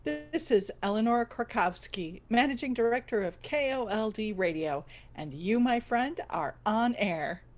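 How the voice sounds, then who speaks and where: A woman's voice: a little high, animated, unhurried, few pauses. A woman playing an earnest, insecure radio station manager in a comedy audio drama, polite and officious. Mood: polite, eager.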